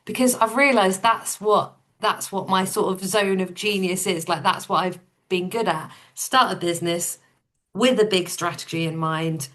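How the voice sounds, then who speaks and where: A woman with a slightly low voice, chatting as an interview guest. Her storytelling is casual and informal, and she puts things plainly and matter-of-factly. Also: normal speed, a bit bright. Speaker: podcast guest, entrepreneur.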